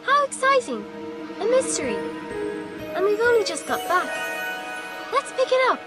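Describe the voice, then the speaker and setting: Dreamy schoolgirl witch for a game: very high, lilting, earnest and otherworldly. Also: big pitch swings, moderate pace. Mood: delighted. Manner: airy, serene, earnest about odd things. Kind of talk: video game voice acting. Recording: music behind the voice.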